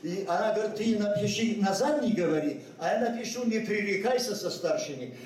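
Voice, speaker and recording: Older man, high voice, echoey hall. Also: expressive, a little mellow, recorded from a distance. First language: Ossetian. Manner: halting, many hesitations and restarts. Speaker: guest speaker at a memorial ceremony. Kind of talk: unscripted ceremonial speech. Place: school hall at a public ceremony.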